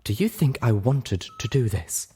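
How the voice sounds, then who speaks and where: A man's voice: mid-range voice, very animated, measured pace, warm tone, husky, exaggerated voice. A man voicing a heartbroken, refined female pony, pained yet firm and reproving, in a storybook reading. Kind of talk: audiobook character voice in storybook reading.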